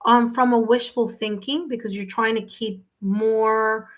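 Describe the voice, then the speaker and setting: Woman, tarot reader, live-stream host, chatty, repetitive, fairly high voice. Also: steady tone, a little slow. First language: English, with Spanish as second language. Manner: chatty, repeats herself, tags sentences with "okay?".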